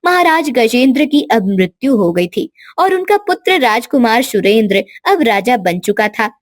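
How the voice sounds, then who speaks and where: A woman's voice: a little high, sing-song, normal speed, no echo, clean studio sound. A woman storyteller giving scripted narration for a cartoon tale.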